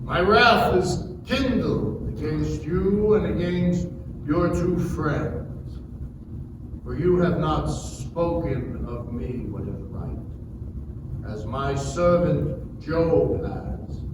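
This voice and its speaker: A man's voice: on the high side, drawn-out words. A man playing a stern, majestic God in a dramatic scripture reading, declaiming with command. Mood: wrathful, solemn. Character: stern, wrathful, majestic God speaking from the whirlwind. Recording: echoey hall, distant mic, background noise.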